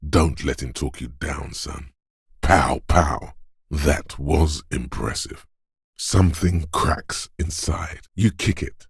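A rumbling, very deep macho coach. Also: male voice, no echo, studio quality, full character voice. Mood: blunt, goading, then slow narration. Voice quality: gravelly, husky. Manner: commanding, taunting, blunt. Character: gruff, macho drill-coach inner voice of physical strength. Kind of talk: video game voice acting.

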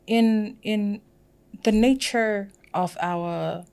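A middle-aged woman from Samoa whose first language is Samoan, speaking reflectively with halting restarts as a podcast guest in a small studio. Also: mid-range voice, creaky, a little mellow, clear, resonant tone. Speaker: podcast guest, humanitarian worker. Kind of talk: casual studio conversation. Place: small studio with plants and shelves. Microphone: podcast mic on a boom arm.

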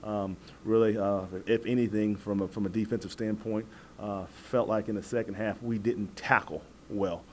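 An American man in his forties, a football coach answering press questions in a measured, halting way, repeating words as he goes. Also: fairly low pitch, steady tone, a little mellow, slight background noise. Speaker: college football head coach. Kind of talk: postgame press conference answers. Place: indoor press room at a table before a backdrop. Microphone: several press microphones on the table.

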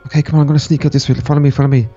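A man's voice: mid-range voice, fairly even intonation, rapid-fire, close to an everyday voice. A man voicing an anxious, eager little boy in a children's Minecraft roleplay, repeating phrases hurriedly. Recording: dull close recording, music behind.

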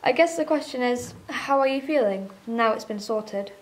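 This is a woman playing a documentary interviewer in a drama. She speaks in a fairly high voice with a calm, reassuring manner. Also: normal speed, slight background noise, natural voice, low-quality recording.

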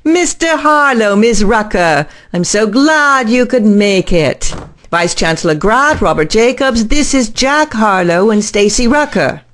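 A woman's voice: fairly high pitch, expressive, measured pace, a bit bright. A woman acting an earnest, eager-to-please scientist in an audio drama. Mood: eager, diplomatic.

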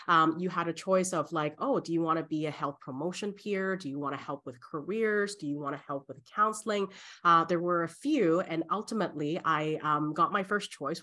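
A woman's voice: lowish voice, a bit bright. A middle-aged woman from Hong Kong who grew up partly in Canada, answering interview questions conversationally as a guest. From born in Hong Kong, in Canada from age ten. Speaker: interview guest, career educator. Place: small bright room at home, video call.

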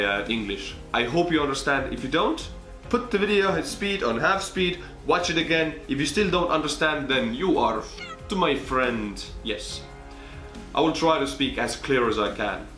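Young man, thick Estonian accent, excitable vlogger, echoey small room. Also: medium pitch, normal speed, soft background music. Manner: animated, big hand gestures, bursts of excitement. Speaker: YouTuber. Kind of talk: casual vlog to camera. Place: small bedroom indoors. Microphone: camera on desk.

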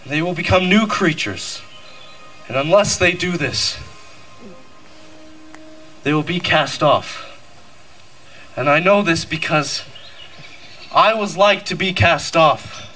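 Young man acting scriptural convert, highish bright voice, earnest recitation, distant stage mic, music behind. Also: slow pace, pauses often. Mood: earnest, repentant. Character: young male scriptural rebel turned earnest repentant convert. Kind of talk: amateur stage pageant recitation. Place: outdoor stage at night. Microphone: handheld mic, filmed from audience.

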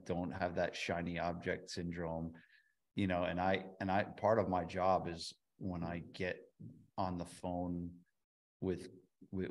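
A man with a very low, gravelly voice hosts a podcast and talks in a flat, even tone. He sums up advice point by point in a relaxed, casual way. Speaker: podcast host.

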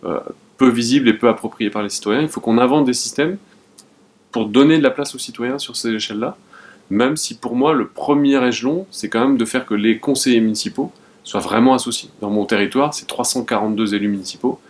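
A man's voice: mid-range voice, a little fast. A man in his thirties, a mayor giving interview testimony indoors. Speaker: mayor and local council president.

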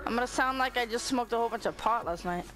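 A woman's voice: a little high, quick pace, rough recording. A woman chatting casually while playing a game online, laughing and squealing nonstop over a headset. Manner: giggly, constantly laughing and squealing. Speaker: gamer. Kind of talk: casual gaming chat.

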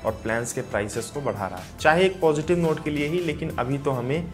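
A man's voice: fairly high pitch, lively intonation, fast talker, soft background music. A young man in his twenties hosting a tech news channel, presenting upbeat and direct to camera in a home studio, speaking on a lapel mic. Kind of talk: scripted tech news explainer. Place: indoor home studio with lit gaming setup.

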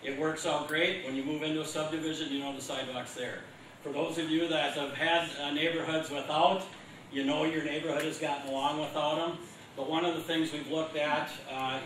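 Halting middle-aged man in echoey hall. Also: a little high, fairly bright tone, a little hiss or hum. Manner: halting, many fillers, gestures while standing. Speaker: city staff presenting to council. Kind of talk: public meeting explanation. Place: large meeting room. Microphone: far room camera. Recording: very echoey room from far off.

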